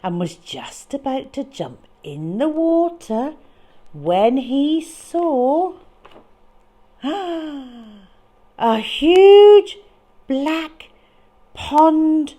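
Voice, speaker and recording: A woman reading a picture book to young children in a dramatic, expressive way with deliberate phrasing. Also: high voice. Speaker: children's storyteller.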